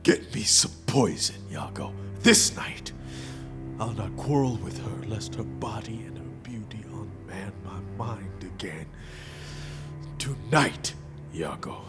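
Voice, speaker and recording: An actor playing an anguished, jealous general in a Shakespeare drama, husky and breathy, veering between hushed muttering and howling. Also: male voice, fairly low pitch, expressive, slow pace, music in the background. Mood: cold, vengeful. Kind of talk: dramatic stage-style Shakespeare acting.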